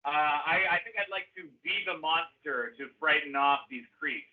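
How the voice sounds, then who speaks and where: A man playing a tabletop game, speaking hesitantly with many pauses and restarts. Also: a little high, phone-quality sound. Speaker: player.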